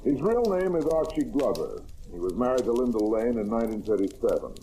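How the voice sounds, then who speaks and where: Man acting wry radio detective, mellow and animated. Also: medium pitch, brisk, lightly acted. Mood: confident, wry. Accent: American. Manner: wry, confident, hard-boiled delivery. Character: shrewd, wisecracking male detective explaining the crime. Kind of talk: old-time radio crime drama acting. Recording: noisy vintage radio recording.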